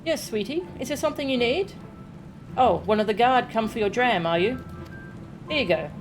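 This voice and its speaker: A woman's voice: a little high, lively intonation, normal speed, faint music behind, lightly acted. A woman voicing a kindly, doting female apothecary in a video game, sweet and familiar in manner. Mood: sweetly helpful. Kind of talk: video game dialogue read aloud.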